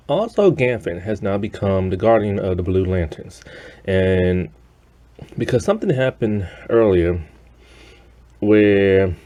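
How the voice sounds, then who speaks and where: Man, deep mellow voice, casual reviewer, conversational, rambling, easily amused. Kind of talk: casual spoken review of an animated series.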